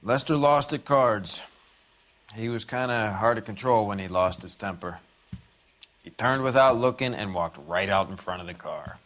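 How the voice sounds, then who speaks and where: A man's voice: fairly low pitch, moderate pace, a bit bright, close to an everyday voice. An actor playing a testy, guarded American man in a 1940s crime video game, terse and a little smug. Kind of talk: dramatic video game acting.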